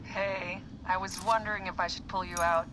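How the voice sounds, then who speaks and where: A woman's voice: lowish voice, little pitch movement, moderate pace, natural voice. An actress playing a cautious, worried modern medical assistant in a video game, conversational and fretful, with noise behind her. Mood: concerned. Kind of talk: naturalistic video game acting. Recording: background ambient noise.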